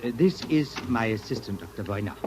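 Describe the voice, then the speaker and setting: An actor playing a formal sanatorium doctor with a hesitant, measured manner in a 1950s radio drama. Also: male voice, fairly low pitch, animated, natural voice. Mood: politely officious. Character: genteel male sanatorium doctor, smooth and officious. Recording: noisy vintage radio recording.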